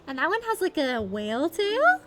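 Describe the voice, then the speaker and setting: A woman livestreaming a game, chatting in an excitable, giggly way with squeals. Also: sits high, normal speed, noisy background. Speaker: game streamer. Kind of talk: livestream commentary and reading game dialogue aloud. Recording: game music behind the voice. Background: game music.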